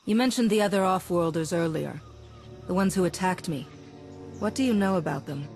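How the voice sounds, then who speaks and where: A woman playing a composed, persuasive and compassionate Jedi heroine in a video game, measured and earnest. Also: on the low side, a little mellow, soft background music, lightly acted. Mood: polite. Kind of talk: video game cutscene acting.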